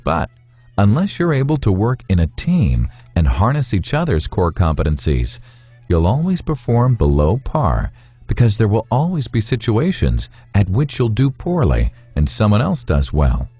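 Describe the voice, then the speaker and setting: A man narrating a scripted moral fable in a measured, instructive way, with deliberate phrasing. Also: on the low side, wildly swooping pitch, darkish tone, husky, no echo, faint music behind. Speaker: storyteller, narrator.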